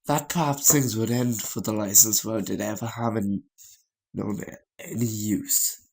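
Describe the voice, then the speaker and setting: A man reading a visual novel story aloud as a gaming host, in a halting way with stumbles and repeated phrases. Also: on the low side, steady tone, vocal fry, darkish tone, husky.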